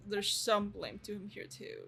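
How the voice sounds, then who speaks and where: A woman's voice: medium pitch, flat delivery, moderate pace, gravelly, bright, forceful tone. A woman game streamer giving casual reaction commentary, chatty and musing as she goes. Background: background game music.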